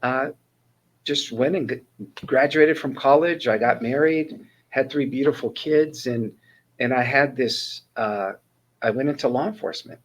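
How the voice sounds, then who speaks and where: A man's voice: mid-range voice, a little slow, poor audio. Earnest older man telling his life story. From Northern California, USA. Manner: earnest, storytelling, frequent restarts. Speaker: guest, ministry mentor coordinator. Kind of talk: casual interview talk, personal testimony.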